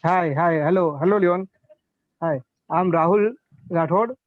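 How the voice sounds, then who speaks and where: A man from India introducing himself as an assistant professor, animated and eager. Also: high voice, a little slow, no room sound, narrow, tinny audio.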